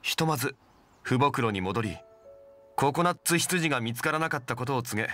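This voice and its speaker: An actor playing a calm, erudite gentleman in a video game, speaking formally and with measured composure. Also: mid-range voice, faint music behind. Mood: composed. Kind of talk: Japanese game voice acting.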